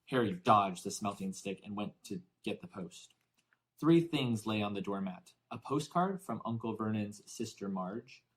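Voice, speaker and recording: A man reading a children's novel aloud in expressive, deliberately phrased storybook narration. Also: on the low side. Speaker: audiobook narrator.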